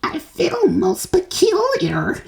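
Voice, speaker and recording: A woman voicing a spoiled, rude girl in a storybook, speaking in a slow, drawn-out, dreamy way. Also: very high-pitched, wildly swooping pitch, vocal fry, voice pushed up, exaggerated voice. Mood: queasy. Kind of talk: storybook read-aloud.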